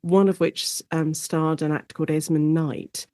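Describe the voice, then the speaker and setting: A British woman speaking as an expert guest in a conversational interview, explaining history in an informal, animated storytelling way. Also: sits low, moderate pace, no echo, clean studio sound. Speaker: guest expert, lecturer and author on Shakespeare in radio.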